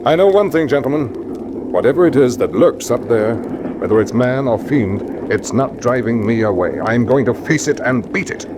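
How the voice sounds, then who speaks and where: An actor playing a bold, eager baronet heir in a radio drama, hearty, emphatic and plain-spoken. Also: male voice, mid-range voice, expressive, moderate pace, lightly acted. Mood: defiant. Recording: noisy background ambience.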